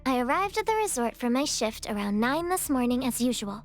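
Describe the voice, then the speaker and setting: A young female resort worker in a video game, with a high, polite voice. She is earnest and takes things literally, and music plays faintly behind her. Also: big pitch swings, fairly quick.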